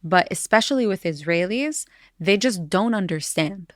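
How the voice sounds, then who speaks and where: A woman's voice: mid-range voice, animated, normal speed, dry recording, studio quality. A Palestinian woman from East Jerusalem, a podcast guest talking in casual conversation, earnest, with many fillers and restarts.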